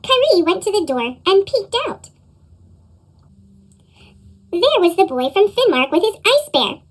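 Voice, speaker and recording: Squeaky chipmunk-effect woman storyteller. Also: very animated, a little slow. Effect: sped-up chipmunk pitch effect. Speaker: storybook reader. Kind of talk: children's read-aloud narration.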